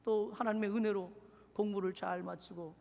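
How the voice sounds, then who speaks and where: A pastor from Seoul in his forties preaching a sermon, swinging from shouted exhortation to hushed, confiding asides. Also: high voice, steady tone, moderate pace, creaky. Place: church sanctuary. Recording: reverberant church hall.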